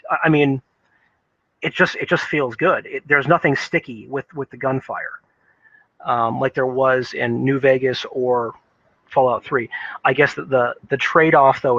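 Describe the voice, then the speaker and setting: A man giving casual, unscripted game commentary in a rambling, halting way, often repeating words as he thinks. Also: mid-range voice, fairly bright tone, no echo, lo-fi sound. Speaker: video game reviewer.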